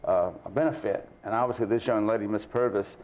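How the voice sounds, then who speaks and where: A man's voice: fairly low pitch, steady tone, brisk, darkish tone. A man, chatting casually as he earnestly promotes an event as a guest. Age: 40s.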